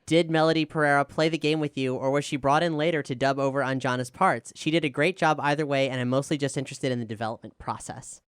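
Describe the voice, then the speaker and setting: Candid, clear voice in a dry panel chat. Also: mid-range voice, steady tone, fast talker, hardly any pauses, no echo. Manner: candid, self-deprecating. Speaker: showrunner, writer and player of an audio drama.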